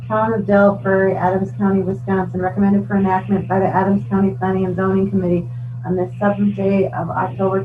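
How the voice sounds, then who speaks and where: A woman working as a county clerk, formally reading ordinances aloud item by item at a board meeting. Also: medium pitch. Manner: procedural, recites legal descriptions item by item. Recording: muffled, distant mic with loud hum.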